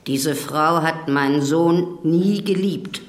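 A woman's voice: deep voice, slow pace, echoey room. An actress playing an accusing, defiant old mother-in-law testifying in a radio drama. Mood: bitter, accusing. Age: older.

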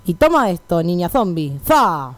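A gallant masked hero played by a woman with a lowish voice. He declaims pompously and boastfully, in radio comedy style. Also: sing-song, unhurried, no echo. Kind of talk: comic radio melodrama.

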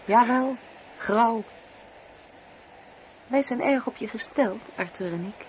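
A woman's voice: on the high side, takes it slowly, low-quality recording. Radio drama: an affectionate married woman, tender and anxious to please, slight noise on the recording. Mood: wistful, affectionate. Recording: radio play with faint background noise.

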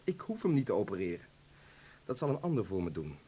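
A man's voice: lowish voice, big pitch swings, quick pace, dark tone, husky. A man acting in a Dutch radio play as a weary, principled doctor who defends himself. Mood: defensive, resigned.

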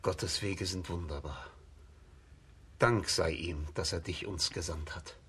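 A man's voice: deep voice, slow pace, pushed and bright, breathy edge, heavily acted. A man acting an old, blind, weary tsar, solemn and fatherly, in a stately children's radio drama manner. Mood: solemn, welcoming.

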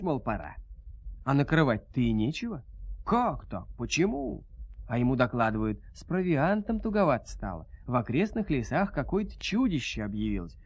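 A man narrating a 1970s audio fairy tale in an animated, folksy, theatrical manner. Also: a little high, husky, no echo. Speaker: storyteller narrator.

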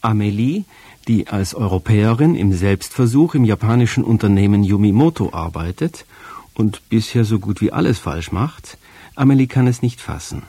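A man's voice: fairly low pitch, expressive, normal speed, mellow, breathy edge, no room sound. A man reading a scripted introduction to a literary radio programme as the announcer.

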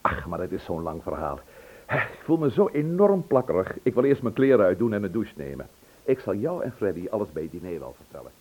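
A man's voice: lowish voice, very animated, fairly quick, slightly rough. An actor playing a genial, teasing man with a hearty, chatty manner in a Dutch radio play. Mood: confiding. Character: genial, teasing man bringing news to friends. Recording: dull old radio play recording.

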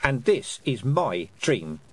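A man's voice: medium pitch, big pitch swings, takes it slowly, fairly bright tone, breathy edge, pitched above the performer's own voice, full character voice. A man doing an earnest, firm young girl. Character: determined young girl, practical and brave.

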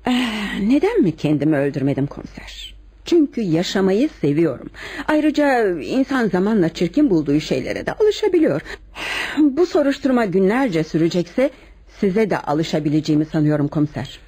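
An actress in a radio drama playing a composed but defensive unhappy wife who answers questioning with hesitant, cool politeness. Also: female voice, medium pitch, very animated, normal speed, dark tone, slightly rough. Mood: wounded, defiant. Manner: hesitant openings, coolly polite, parrying questions. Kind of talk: radio theatre acting.

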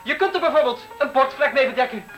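An actor playing a young dressmaker, earnest and theatrical, in a 1970s radio play. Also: male voice, extremely high pitch, animated, quick pace, a bit bright, faint music behind. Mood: declaiming. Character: young male dressmaker, meek but catty critic.

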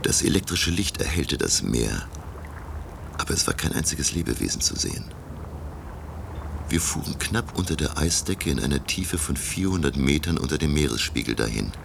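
An actor playing an earnest, scholarly professor who narrates his sea voyage in a measured, literary radio drama style. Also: very deep voice, sing-song, creaky, noisy background, full character voice. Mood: grave, reflective. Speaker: narrator and lead character.

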